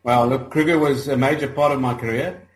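A man's voice: medium pitch, fairly even intonation, a little fast, echoey room, poor audio. A middle-aged South African man, a conservationist guest, chatting hesitantly with many fillers and restarts. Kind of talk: casual interview chat.

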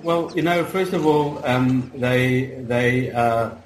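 A man's voice: medium pitch, takes it slowly, reverberant, rough recording. A middle-aged South African man, a conservationist guest, chatting hesitantly with many fillers and restarts. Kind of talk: casual interview chat.

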